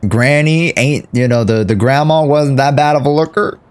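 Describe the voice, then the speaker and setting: A man's voice: medium pitch, moderate pace, clean tone. A man doing casual, joking live game commentary as a streamer. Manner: joking, mimics the game's lines.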